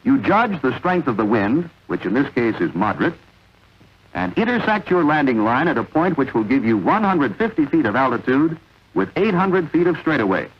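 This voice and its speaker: A man narrating a vintage military training film in a measured, authoritative, instructional way. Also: mid-range voice, very animated, slightly rough. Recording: dull, lo-fi vintage film soundtrack.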